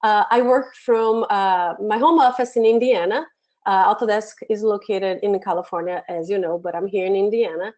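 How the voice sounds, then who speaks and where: A woman's voice: mid-range voice, moderate pace, a little mellow. Brazilian-accented woman hosting a webinar. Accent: Brazilian Portuguese. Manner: friendly, many fillers and restarts. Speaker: webinar host, education program manager. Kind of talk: live software webinar instruction. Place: home office. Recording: dull lo-fi webinar audio.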